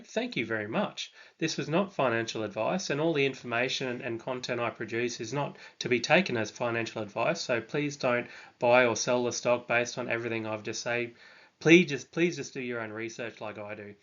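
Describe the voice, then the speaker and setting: A man talks through his stock research in a relaxed, unscripted way, often pausing on ums and restarting his sentences. His voice sits at an ordinary middle pitch, and the recording sounds a little dull and lo-fi. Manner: hesitant, many ums and restarts. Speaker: stock channel host. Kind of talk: casual stock analysis monologue.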